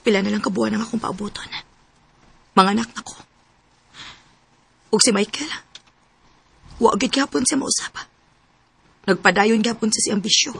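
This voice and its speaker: A woman's voice: mid-range voice, normal speed, gravelly, pauses often, noisy background, natural voice. A woman with a Cebuano Filipino accent playing a long-suffering, pleading young wife and mother in an emotional radio drama. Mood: worried, sad.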